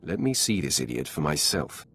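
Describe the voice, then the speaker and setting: An actor playing a commanding, sardonic dark lord in a video game, wry and imperious toward those beneath him. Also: male voice, very deep voice, big pitch swings, slight background noise, heavily acted. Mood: amused, imperious. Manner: wry, imperious, measured authority. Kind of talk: video game character acting.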